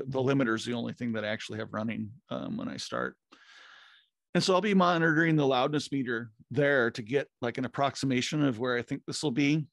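A middle-aged man with a gravelly, fry-edged voice talks shop at an even pitch, pausing often on fillers and restarting his sentences. The sound is close and dry, with no room around it. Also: no echo. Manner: rambling, many fillers and restarts. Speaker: podcast co-host. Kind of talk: casual technical chat. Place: home music studio. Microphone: studio mic with headphones, video call.

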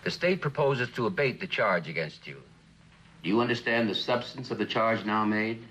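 A man playing a stern older judge in a 1960s courtroom drama, measured, formal and authoritative. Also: fairly low pitch, lively intonation, fairly bright tone, a little hiss or hum, low-quality recording.

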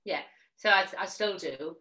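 A woman's voice: on the low side, unhurried, sharp tone. A woman in her forties with a Welsh accent, a magazine editor speaking openly in a video-call interview over a headset. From Wales. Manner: open, candid, talks in long runs. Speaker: magazine editor-in-chief, guest. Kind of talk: casual interview chat.

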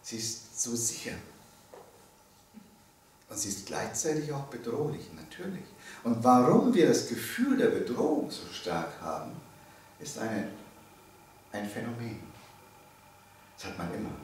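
A man in his early seventies giving a public lecture, speaking emphatically and deliberately, pausing for weight and putting questions to his listeners. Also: mid-range voice, huge pitch swings, a little mellow. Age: early 70s. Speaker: lecturer, theology professor. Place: indoor seminar room. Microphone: microphone on a stand. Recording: very echoey room, distant, faint noise.

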